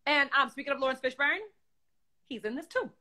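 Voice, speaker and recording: A man voicing a brash young woman puppet host with a New York accent, loud and slangy while reading the news. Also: very high voice, brisk, exaggerated voice. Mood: sassy. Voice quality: loud, profane, slangy, bubbly. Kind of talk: comedic puppet news chat.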